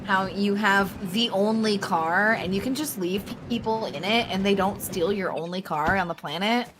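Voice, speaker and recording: A woman's voice: medium pitch, normal speed, noisy background. A woman co-commentating on a speedrun stream with playful, wry, enthusiastic banter.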